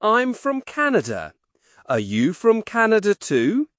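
A woman voicing a polite, cheerful young schoolboy in a scripted textbook dialogue, speaking slowly and carefully. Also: medium pitch, huge pitch swings, no echo, studio quality. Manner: slow, carefully spoken.

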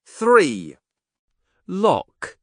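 A woman narrating English textbook audio, announcing unit titles, numbers and instructions slowly and clearly. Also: on the low side, huge pitch swings, no echo, clean studio sound. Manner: slow, clearly enunciated announcing.

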